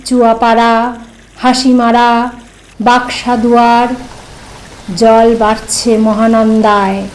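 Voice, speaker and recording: A woman giving a dramatic poetry recitation, with deliberate, measured phrasing and repeated refrains. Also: a little high, a little mellow, poor audio.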